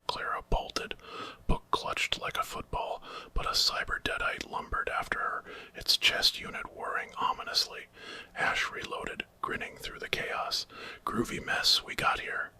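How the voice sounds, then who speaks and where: A man narrating a horror story as ASMR in a breathy, dramatic whisper from start to finish. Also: measured pace, pushed and bright.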